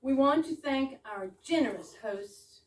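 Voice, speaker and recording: Echoey, slow-speaking woman hosting a live evening. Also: high-pitched, animated. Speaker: host welcoming an audience to a readers theater evening. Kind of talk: live welcome speech. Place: room in a private home with a small audience. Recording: echoey room, distant mic, background noise.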